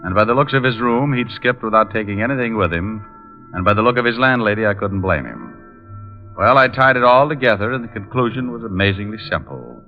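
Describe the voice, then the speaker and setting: Low, muffled wisecracking radio private eye. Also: male voice, animated, a little fast, long reverb tail. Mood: wry. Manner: dry, sardonic, wry. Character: wisecracking flirtatious private detective, male. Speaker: lead actor and narrator. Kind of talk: 1940s radio detective drama acting. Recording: muffled old-time radio recording with music.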